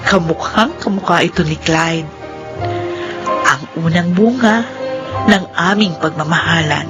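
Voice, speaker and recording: A woman's voice: lowish voice, measured pace, muffled recording, music in the background, close to an everyday voice. A woman acting a remorseful, devoted wife in a Filipino radio drama, emotional and heartfelt. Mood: warm, reflective. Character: Jade, remorseful, devoted once-blind young wife.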